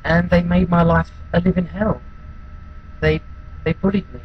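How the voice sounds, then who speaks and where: Guy acting a hurt loner in a monologue, quiet and halting, keeps hesitating, slight background noise. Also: sits high, flat delivery, dull audio, natural voice. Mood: subdued, pained. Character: withdrawn, wounded outcast recalling being bullied at school. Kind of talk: dramatic monologue.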